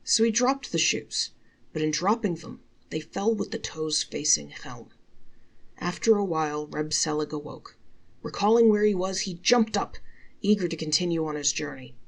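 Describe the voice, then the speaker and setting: A woman reading a folktale aloud as expressive storytelling narration, measured and drawing out key words. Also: deep voice, vocal fry.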